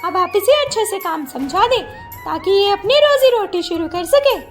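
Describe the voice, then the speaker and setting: A woman voicing a kind, wealthy middle-aged businesswoman in a cartoon story, speaking gently and encouragingly. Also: extremely high pitch, lively intonation, moderate pace, faint music behind, pitched above the performer's own voice. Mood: kindly.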